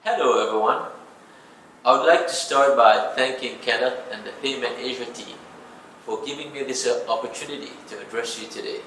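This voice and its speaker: A man's voice: medium pitch, fairly quick, fairly bright tone. A man delivering a prepared corporate speech as a company executive. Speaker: bank chief executive. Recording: gravelly playback in echoey, noisy room, distant.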